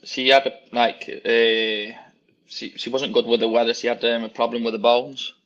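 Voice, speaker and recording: A man's voice: mid-range voice, little pitch movement, normal speed, fairly bright tone. A man from Spain whose first language is Valencian, talking as a guest over a phone call, hesitant and searching for English words. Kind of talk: casual interview over a call. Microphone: phone on a video call. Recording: dull lo-fi call audio.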